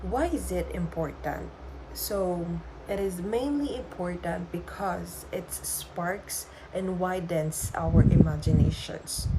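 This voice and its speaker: A woman's voice: fairly low pitch, slow pace. A woman student presenter explains a lesson over very noisy recording. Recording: very loud background noise.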